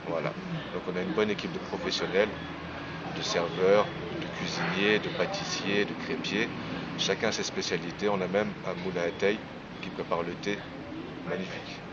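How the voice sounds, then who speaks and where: Low-voiced café manager, businesslike, taped on a loud street with a muffled mic. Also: male voice, steady tone, normal speed. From France. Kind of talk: street interview. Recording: muffled street recording with loud traffic noise.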